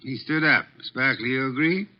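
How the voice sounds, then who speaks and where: A man's voice: medium pitch, normal speed, close to an everyday voice. A man playing an imperious, pedantic detective in a vintage radio mystery, with formal and precise diction. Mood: probing, assured. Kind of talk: 1940s-50s radio mystery acting. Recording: dull vintage radio recording.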